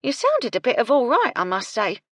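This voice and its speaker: A woman's voice: on the high side, wildly swooping pitch, brisk, fairly bright tone, dry recording, clean studio sound, pitched above the performer's own voice, heavily acted. A woman voicing a brash, bossy young kitchen maid who scolds bluntly and swears freely. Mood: grudging kindness.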